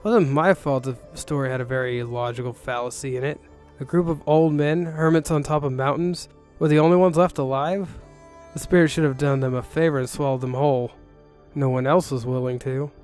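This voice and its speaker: A man narrates a first-person ronin tale with dry sarcasm. His voice is mid-pitched, and music plays behind the reading. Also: steady tone, a little fast, darkish tone. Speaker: narrator reading an interactive novel. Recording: music bed under the voice.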